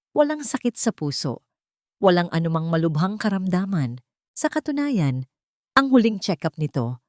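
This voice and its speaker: A woman reading dramatic scripted story narration in a measured way, with short weighted phrases. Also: lowish voice, dry recording, clean studio sound.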